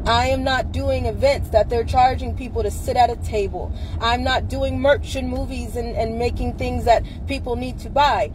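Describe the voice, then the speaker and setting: Earnest woman over loud street traffic. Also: on the high side. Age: 30s. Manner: earnest, emphatic, repeats points for stress. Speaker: advocate speaking to followers. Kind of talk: casual live-stream monologue. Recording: live video with loud traffic noise behind.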